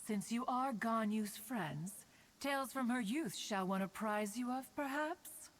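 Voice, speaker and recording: A woman's voice: a little high, lively intonation, measured pace, slight background noise. A woman voicing an ancient, dignified, motherly female immortal in a video game, with stately, archaic formal speech. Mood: composed, coaxing. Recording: soft game music behind the voice.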